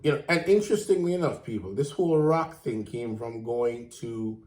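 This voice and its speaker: A man's voice: mid-range voice, animated, normal speed, a little mellow. Teasing Jamaican man in an echoey room. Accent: Jamaican, switching into patois. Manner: teasing, mock-serious. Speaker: co-host. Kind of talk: playful banter.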